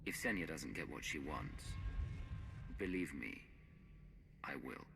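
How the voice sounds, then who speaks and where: A man's voice: a bass voice, lively intonation, takes it slowly, vocal fry, background noise, full character voice. An actor playing a stern, guarded and determined male hero in a game cutscene, blunt and challenging. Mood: threatening. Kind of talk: video game cutscene acting.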